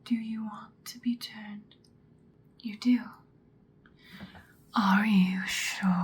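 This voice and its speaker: A woman playing an ancient, powerful female vampire in an ASMR roleplay, whispering breathily in an intimate, calmly menacing, seductive way. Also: on the high side. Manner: slow, deliberate, intimate. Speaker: ASMR performer.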